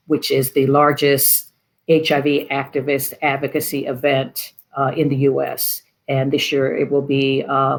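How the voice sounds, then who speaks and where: Measured woman panelist, very even intonation. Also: deep voice. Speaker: panelist, nonprofit program officer. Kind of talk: explanatory panel introduction.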